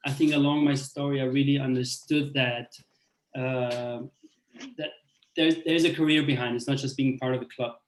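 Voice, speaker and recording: A man from Austria tells his personal story to an audience. His pitch sits in an everyday middle range. His talk is halting and full of fillers and restarts, and the room gives it a noticeable echo. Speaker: speaker on a panel. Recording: roomy echo.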